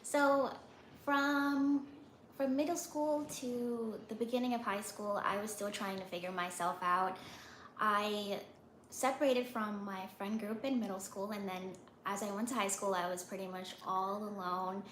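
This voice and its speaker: A soft-spoken young woman from New York, a guest telling her story in an interview. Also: medium pitch, fairly even intonation, unhurried, roomy sound, slight background noise. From United States (New York). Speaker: guest, podcaster.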